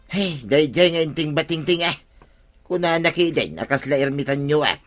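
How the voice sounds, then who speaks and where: An actor with an Ilocano accent playing a needy, naive villager who complains plaintively in a radio comedy drama. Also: male voice, a little high, moderate pace, fairly bright tone, natural voice. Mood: aggrieved.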